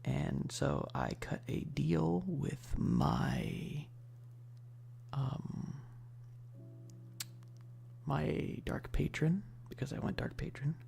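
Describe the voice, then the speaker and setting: A man streaming casual ASMR chat in a rambling, hushed way, often dropping toward a whisper, with a gravelly voice. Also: fairly high pitch, huge pitch swings, drawn-out words, long pauses, faint music behind.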